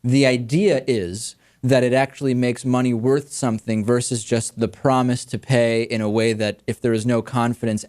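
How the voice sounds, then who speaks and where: A man's voice: medium pitch, darkish tone. An American man hosting a talk show, giving conversational commentary and reading out audience mail.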